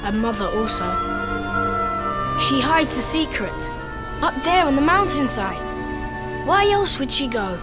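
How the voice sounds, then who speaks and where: High-voiced, solemn, poetic little boy. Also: very animated. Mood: grave. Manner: formal, measured, poetic phrasing with nature similes. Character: earnest, solemn young boy villager who speaks in poetry. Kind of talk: video game cutscene voice acting. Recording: loud music behind the voice.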